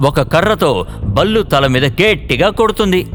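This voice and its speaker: A man narrating an animated children's story in an expressive storytelling manner. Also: a little high, moderate pace. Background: faint background music.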